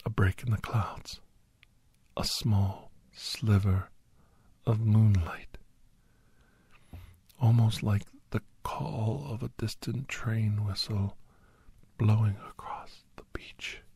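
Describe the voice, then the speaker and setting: A man acting as a grave doctor recounts a dark monster tale for ASMR listeners. His voice is a very husky, breathy whisper, close and dry. He moves slowly and deliberately, repeating phrases and leaving pauses. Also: lowish voice, steady tone, no echo, full character voice. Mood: hushed, suspenseful. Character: Doctor Andrew Michaels, grave male storyteller recounting a werewolf encounter. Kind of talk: whispered ASMR dramatic first-person storytelling.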